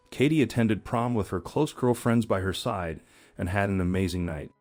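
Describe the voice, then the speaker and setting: A man's voice: fairly low pitch, a little mellow, no room sound. A man reading scripted story narration in a dramatic storyteller manner.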